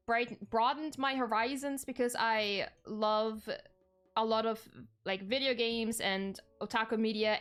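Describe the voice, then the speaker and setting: A woman's voice: a little high, fairly bright tone, smooth. A young woman from Germany, chatting casually with her stream viewers, rambling with frequent ums and self-corrections.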